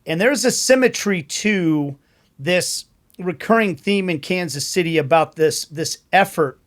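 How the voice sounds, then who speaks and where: A man hosting a podcast who runs a trivia quiz in a bright, upbeat way. His voice sits fairly high. The recording is dry and close-miked. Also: a little slow, no room sound. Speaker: podcast host. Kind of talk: casual trivia quiz and show intro.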